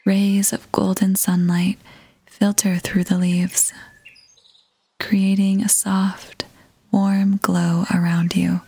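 A woman leading a scripted guided meditation in a soft, breathy, whispery voice, soothing and gentle, with long pauses. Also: medium pitch, flat delivery. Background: music.

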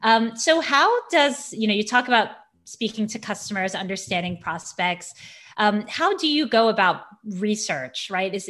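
A woman's voice: mid-range voice, a bit bright. A woman hosting a podcast in a casual, chatty interview style, affirming and informal, often stacking several questions together.

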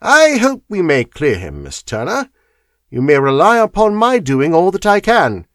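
A man voicing a cool, dryly amused detective with a crisp, offhand delivery. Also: a little high, wildly swooping pitch, measured pace, no echo, clean studio sound, pitched above the performer's own voice, full character voice. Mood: reassuring. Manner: crisp, offhand, slightly lofty.

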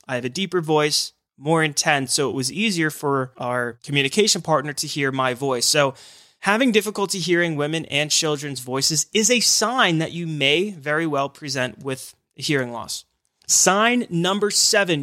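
A man's voice: fairly high pitch, pushed and bright, no room sound, clean studio sound. A man who works in hearing care, explaining signs of hearing loss in an earnest, encouraging podcast talk.

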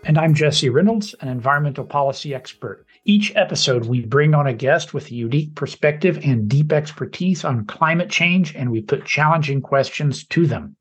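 A man with a fairly high, husky voice co-hosts a podcast. He reads the introduction in a measured, slightly halting way. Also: faint music behind. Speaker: podcast co-host.

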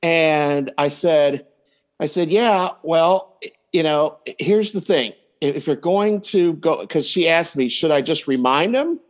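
A man's voice: on the high side, takes it slowly. A man speaking as a coach and podcast guest, giving advice in a casual, anecdotal way. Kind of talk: casual advice chat, telling client anecdotes.

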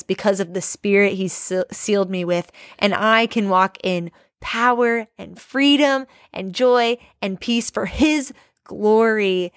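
A young woman in her late twenties talks through a faith podcast, earnest and prayerful, reflecting aloud. Her voice sits at an ordinary middle pitch, recorded close and dry with no room sound. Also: dry recording. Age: 20s. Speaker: podcast host. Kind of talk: devotional podcast monologue.

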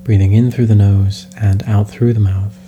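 A man leading a guided meditation, speaking slowly and calmly in soft instructions. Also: lowish voice, highs cut off, noisy background. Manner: slow, calm, soft-spoken instructions.

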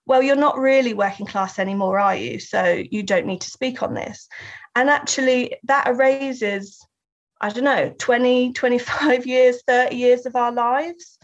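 A woman with a Southern English accent, talking thoughtfully as an interview guest, often laughing and restarting her sentences. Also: on the high side. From England. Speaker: guest artist and writer. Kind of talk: reflective interview talk. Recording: slightly dull lo-fi sound.

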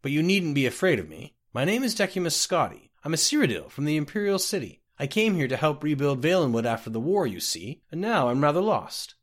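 Man acting an earnest lost clerk, pitched up. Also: sing-song, a little fast, few pauses. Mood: anxious, reassuring. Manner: earnest, pleading, polite. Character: lost city clerk, earnest, bewildered, male.

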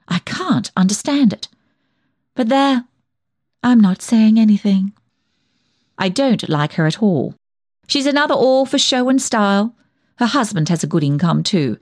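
A woman's voice: on the high side, dry recording, studio quality. A woman performing a comic monologue as a nosy, tactless village dressmaker who chats on with prying, backhanded remarks. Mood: gossipy, indignant. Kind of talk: dramatic monologue reading, comic character storytelling.

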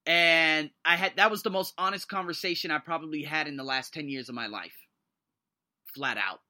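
Excitable man with a sharp, bright voice. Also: fairly high pitch, moderate pace. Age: 30s. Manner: excitable and emphatic, voice leaps up when thrilled. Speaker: podcast host. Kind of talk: motivational solo podcast monologue.

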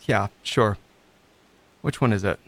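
A man acting the part of a lonely, self-doubting recovery coach in an audio drama, halting and introspective. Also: lowish voice, no echo. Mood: subdued, receptive.

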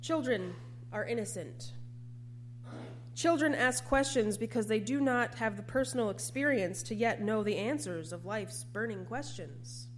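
A woman preacher delivering a sermon in a measured, reflective, plain-spoken way. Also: on the high side, expressive, a little hiss or hum.

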